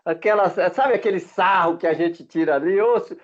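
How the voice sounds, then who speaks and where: A man in his fifties from Minas Gerais with a Minas Gerais Brazilian accent, telling stories as a former footballer in a relaxed interview, chatty and often laughing between halting restarts. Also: sits high. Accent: Minas Gerais Brazilian Portuguese. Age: 50s. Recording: dull lo-fi sound.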